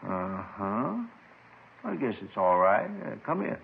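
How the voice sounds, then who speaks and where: A man's voice: on the low side, big pitch swings, slow, gravelly, heavy background noise, exaggerated voice. An actor in a 1950s radio drama playing an agitated, paranoid reclusive crank who rambles, stammers and repeats himself about his doomsday writings. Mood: wary. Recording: muffled vintage 1950s radio recording.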